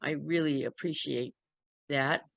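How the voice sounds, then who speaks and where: A woman's voice: low voice, fairly even intonation, moderate pace, creaky, no room sound. A woman chatting casually in a group as an author, with a candid, wry way of talking. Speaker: memoir author in a community discussion.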